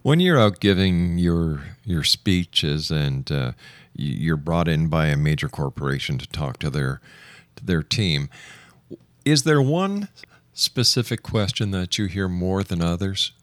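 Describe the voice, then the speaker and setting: A male radio host with a low voice conducts an interview. He asks his questions in a measured manner, in a dry, close sound. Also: expressive, no echo.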